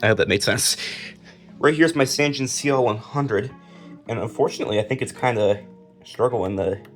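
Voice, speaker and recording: A man's voice: mid-range voice, moderate pace, soft background music. Casual young American man showing a collection. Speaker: collector presenting own collection. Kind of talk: casual collection showcase narration.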